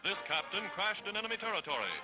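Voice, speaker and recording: A man's voice: sits high, big pitch swings, quick pace, music in the background. A man with an American accent narrating a 1940s newsreel in a formal, declamatory, emphatic manner on a vintage soundtrack.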